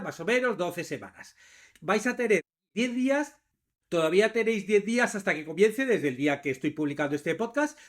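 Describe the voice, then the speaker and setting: A man's voice: high voice, a bit bright. A man with an accent from Spain presenting a podcast, addressing listeners directly and informally. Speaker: podcast host. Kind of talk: podcast presentation with course promotion.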